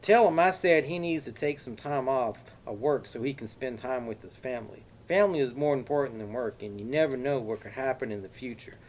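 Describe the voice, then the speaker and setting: A man voicing an older graying man with an exaggerated Texas accent, folksy and courteous but quietly threatening. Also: mid-range voice, fairly quick, few pauses, a little hiss or hum, pitched above the performer's own voice, natural voice. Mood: polite menace. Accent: exaggerated Texas drawl.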